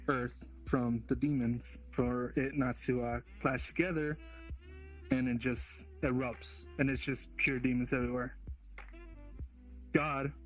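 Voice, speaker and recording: A man's voice: mid-range voice, lightly acted, poor audio. A fallen angel who has pledged himself to humanity, voiced by a man in an online roleplay, speaking earnestly in an everyday middle voice. Faint music sits in the background. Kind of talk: voice-chat roleplay.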